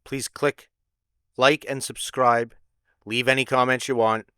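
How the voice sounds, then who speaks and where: A man's voice: medium pitch, flat delivery, unhurried, pushed and bright, smooth, no room sound, studio quality. A man hosting an online radio show, speaking a scripted-sounding sign-off. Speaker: channel host.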